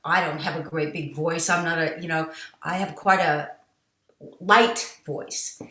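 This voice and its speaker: Casting: middle-aged woman interview guest, bright forward voice, animated storyteller, roomy echo. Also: sits low, a little slow. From United States. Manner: animated, tells stories with quoted asides and laughs. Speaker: interview guest, jazz singer and songwriter.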